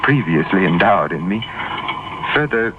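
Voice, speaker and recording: Deep-voiced, courteous deranged killer. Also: male voice, lively intonation, unhurried. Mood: confiding, chilling. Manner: polite, formal, condescending, menacing. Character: courteous, cunning, deranged male killer in handcuffs. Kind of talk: old-time radio drama acting. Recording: muffled, very noisy old radio recording.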